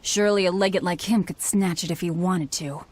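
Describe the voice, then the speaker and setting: A woman's voice: lowish voice, fairly quick, a little mellow, natural voice. An actress playing a grim young woman in a game, speaking in a cold, matter-of-fact way.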